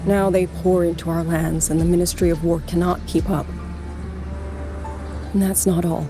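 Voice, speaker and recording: Guarded young female warden for a game actress: lowish voice, formal and grave, shaken but composed, loud music underneath. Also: level tone, normal speed, natural voice. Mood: grave, urgent. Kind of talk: English game voice acting.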